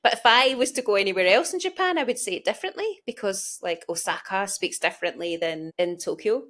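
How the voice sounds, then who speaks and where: A woman's voice: medium pitch, expressive, brisk, fairly bright tone. Glaswegian woman hosting a conversation. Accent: Glasgow Scottish. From Scotland. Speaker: podcast host and interviewer. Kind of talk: conversational interview.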